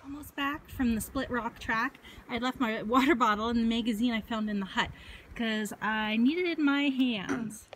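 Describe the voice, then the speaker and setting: A woman in her thirties chatting casually in short, relaxed remarks as she films a hiking vlog on a phone. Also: fairly high pitch, normal speed, slight background noise. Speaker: hiker vlogger. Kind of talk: casual trail vlog narration to camera. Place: outdoors on mountain trail, sometimes inside hut. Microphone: handheld phone at arm's length.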